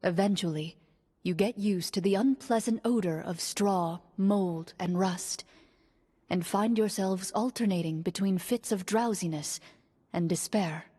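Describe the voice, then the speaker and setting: An actress playing a calm, composed swordswoman who speaks in a measured, gentle way. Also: mid-range voice, slightly rough. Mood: narrating. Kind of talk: game voice acting. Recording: long hall reverb.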